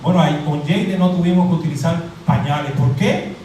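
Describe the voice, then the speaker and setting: Animated Cuban pastor in an echoey hall. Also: male voice, a little high, fairly quick, slight background noise. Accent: Cuban Spanish. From Cuba. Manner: animated, asks the crowd questions and jokes. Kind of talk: interactive sermon, calling on the congregation. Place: church hall. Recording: very echoey hall, mic at a distance.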